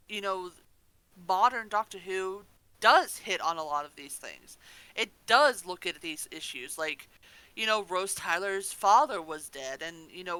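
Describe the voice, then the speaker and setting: Female podcast co-host. Sharp, animated delivery, emphatic casual opinions, unafraid to curse. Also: fairly low pitch, dry recording, low-quality recording. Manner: emphatic, ranting, freely swearing.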